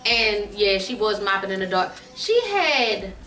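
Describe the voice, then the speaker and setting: A woman's voice: mid-range voice, animated, measured pace, bright, forceful tone. A middle-aged woman telling a funny story casually, emphatic and repeating phrases for comic effect. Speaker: guest mother telling a family story on her daughter's vlog. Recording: roomy echo, distant mic, faint music.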